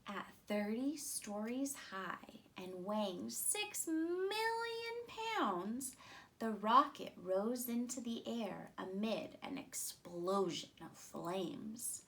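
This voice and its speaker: A woman in her thirties reading a children's picture book aloud with deliberate, expressive phrasing. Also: mid-range voice, poor audio. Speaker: storytime reader.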